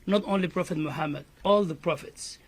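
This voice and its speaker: A man's voice: fairly high pitch, a little fast. A man from Somalia with a Somali accent, an imam giving an earnest press conference statement full of "you know" fillers.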